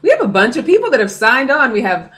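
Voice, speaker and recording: A woman's voice: on the high side, sing-song, quick pace, a bit bright. An American woman hosting a live call-in radio interview, upbeat and affirming in a chatty way. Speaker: radio show host.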